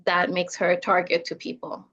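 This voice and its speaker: A young adult woman from Zambia, a filmmaker being interviewed on a podcast, talking earnestly and getting animated when she quotes others. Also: fairly low pitch, moderate pace. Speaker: filmmaker guest. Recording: slightly dull lo-fi audio.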